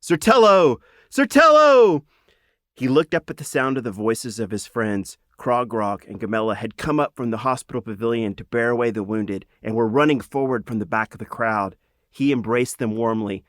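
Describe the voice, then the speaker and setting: A man reading a children's fantasy audiobook as a dramatic, earnest storyteller with measured phrasing. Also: on the low side, dry recording, clean studio sound. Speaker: author reading own audiobook.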